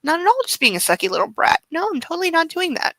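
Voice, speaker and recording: A woman's voice: very high voice, big pitch swings, quick pace, bright, forceful tone, no echo, pitched above the performer's own voice, full character voice. A woman voicing a needy, cuddly male puppy who pleads babyishly and repeats himself to beg for affection. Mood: whiny, cajoling. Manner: pleading, babyish, repetitive phrasing.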